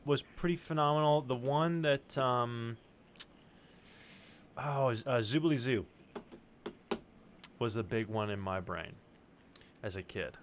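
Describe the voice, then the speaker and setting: A man in his thirties chatting casually and enthusiastically, halting now and then to search for words. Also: mid-range voice, background noise. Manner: sweary, enthusiastic, halting as he recalls names. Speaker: stream panelist, parent. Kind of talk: casual chat.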